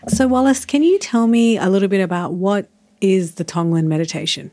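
A woman's voice: mid-range voice, fairly quick, darkish tone. A woman hosting a conversational interview. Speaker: interview host.

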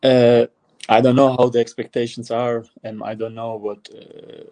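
A young man with an Albanian accent answering interview questions, halting often with fillers and restarts. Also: medium pitch, flat delivery, warm tone. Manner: hesitant, many fillers and restarts. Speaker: footballer guest.

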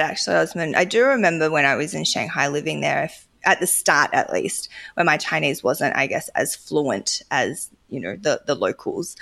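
A woman's voice: low voice, gravelly, fairly bright tone. A woman talking thoughtfully in a casual discussion, often hedging with "kind of". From Australia.

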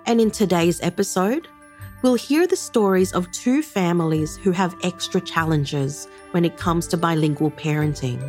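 A woman hosting a radio podcast, speaking in a gentle, measured way. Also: lowish voice, faint music behind. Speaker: podcast host. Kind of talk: scripted radio presenting.

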